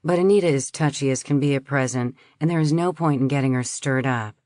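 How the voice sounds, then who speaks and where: A woman voicing a hurried, placating middle-aged husband who talks in a soothing, rushed way. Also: medium pitch, a little mellow, no echo, clean studio sound, lightly acted.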